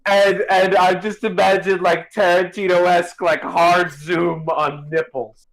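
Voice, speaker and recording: A man co-hosting a stream, giving casual, incredulous commentary in a halting way. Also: high-pitched, flat delivery, a bit bright, low-quality recording. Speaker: stream co-host. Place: indoors on a live stream.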